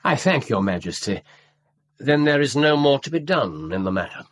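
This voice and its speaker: An actor playing a cool, formal Victorian detective in a radio drama, dryly courteous with subtle disdain. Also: male voice, medium pitch, sing-song, moderate pace, natural voice. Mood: brisk. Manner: clipped, coolly courteous, dry.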